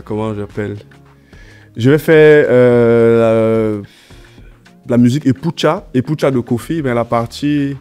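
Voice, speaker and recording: A man's voice: mid-range voice, darkish tone, clear, resonant tone. A man with a slight head cold, talking conversationally as an interview guest and repeating points for emphasis. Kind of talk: conversational interview answers, giving advice.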